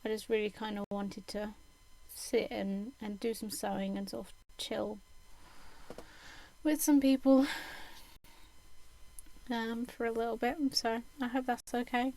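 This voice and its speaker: A young woman, talking slowly and hesitantly. Her throat is slightly croaky and her voice sits a little high. She fills the gaps with ums as she chats casually over a desk mic at home. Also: steady tone, dark tone, rough recording. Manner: hesitant, lots of ums, self-deprecating laughs. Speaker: streamer. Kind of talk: casual chat while sewing. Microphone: desk microphone.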